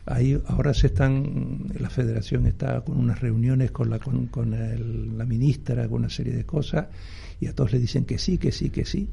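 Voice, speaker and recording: A gravelly, husky older man. He is a doctor talking on a radio interview, and he starts over often and pads his sentences with fillers. His voice sits dark and mellow. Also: medium pitch, steady tone. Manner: halting, many restarts and fillers. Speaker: doctor and health advocacy guest. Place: radio studio.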